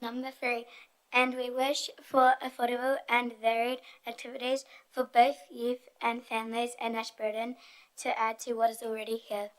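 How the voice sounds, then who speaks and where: A woman's voice: on the high side, level tone, normal speed, clear, resonant tone. A young woman reading her part of a prepared presentation as a youth speaker. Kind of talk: prepared group presentation, read aloud.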